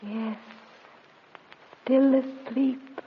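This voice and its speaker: A thin, phone-like radio recording with faint noise carries a frail dying woman. She speaks extremely slowly in a highish voice, drowsy and halting, as if held in a trance in a gothic radio play. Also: steady tone, slightly rough, heavily acted. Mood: weary, entranced. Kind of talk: gothic radio drama acting.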